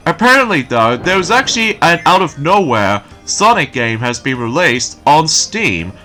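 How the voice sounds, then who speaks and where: Rambling man with a bright voice. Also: on the high side, sing-song, normal speed, faint music behind. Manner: rambling, repeats filler phrases. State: slightly croaky from waking early. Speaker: let's play commentator. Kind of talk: casual gameplay commentary.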